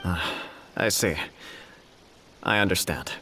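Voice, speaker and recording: A man voicing a courteous, dignified government official, speaking formally and deliberately in game dialogue with music behind. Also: deep voice, sharp tone, heavily acted. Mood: placating.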